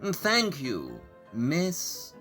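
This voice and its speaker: A man's voice: on the high side, wildly swooping pitch, very slow, over music, full character voice. An actor playing a brilliant, eccentric male detective who lectures in a precise, deductive manner. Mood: questioning.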